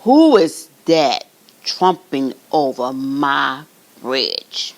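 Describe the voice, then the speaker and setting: A woman voicing a mean, greedy male troll in a read-aloud storybook, with a loud, gruff, menacing delivery. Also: rumbling low, big pitch swings, drawn-out words, pitched below the performer's own voice, exaggerated voice.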